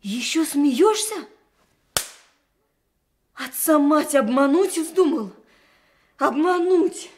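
Radio drama mother: furious, reproachful, high voice, drawn-out delivery. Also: lively intonation, darkish tone, a little hiss or hum, heavily acted. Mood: bitter reproach. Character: angry, anguished mother scolding her son.

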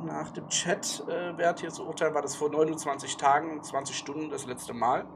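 A man narrating casually as game master of a tabletop game, with a halting, hesitant delivery. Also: on the high side, little pitch movement, vocal fry, background noise. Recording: music behind the voice.

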